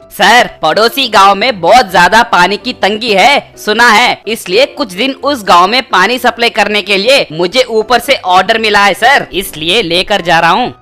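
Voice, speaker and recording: Woman voicing a bright, fawning tanker driver man. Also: medium pitch, measured pace, soft background music. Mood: pleading excuses. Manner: deferential, excuse-making. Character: sly, eager male water-tanker driver, fawning and greedy. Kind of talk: Hindi comedy moral-story cartoon dialogue.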